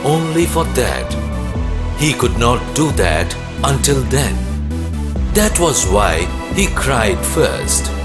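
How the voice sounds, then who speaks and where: A man voicing a resolute, wise king in a children's cartoon, speaking in a deliberate, earnest, declamatory way. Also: mid-range voice. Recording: loud music under the voice.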